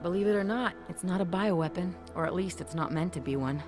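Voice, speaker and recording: Low-voiced, streetwise female informant played by an actress. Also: fast talker, lightly acted. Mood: explanatory, urgent. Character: streetwise, self-reliant female informant, frank and urgent. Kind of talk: video game cutscene acting. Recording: music clearly behind the voice.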